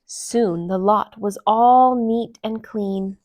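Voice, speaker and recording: A woman reading a children's storybook aloud in an expressive, deliberate storytelling manner. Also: medium pitch, slight background noise.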